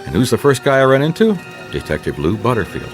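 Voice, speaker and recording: An actor playing a weary, wisecracking private investigator in a radio detective drama, with wry, exasperated deadpan delivery. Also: male voice, mid-range voice, quick pace, music in the background. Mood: wry narration. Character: weary, wisecracking male private investigator narrating his case.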